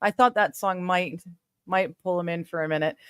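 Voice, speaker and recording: A woman's voice: lowish voice, steady tone, moderate pace, smooth, no room sound, studio quality. A woman in her forties chatting casually with friends on a stream, laughing often and poking fun at herself. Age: 40s. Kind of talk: casual chat.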